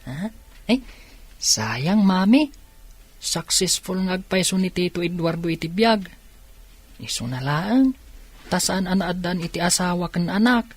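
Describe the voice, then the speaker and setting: A woman's voice: on the low side, expressive, measured pace, pauses often, breathy edge. A woman playing a curious young boy in an Ilocano radio drama, with an Ilocano accent. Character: curious young boy questioning his mother.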